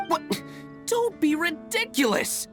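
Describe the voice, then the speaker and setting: A woman's voice: very high-pitched, big pitch swings, slow pace, fairly bright tone, exaggerated voice. A woman voicing an opinionated, pedantic fruit stall keeper with an emphatic manner in a video game. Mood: indignant. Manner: emphatic, declamatory. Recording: music playing behind the voice.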